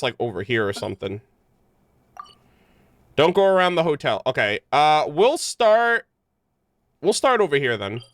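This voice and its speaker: A man's voice: medium pitch, fairly bright tone, smooth. A man live streaming a game, chatting informally and jokingly with his viewers in slangy language. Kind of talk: casual game streaming chat.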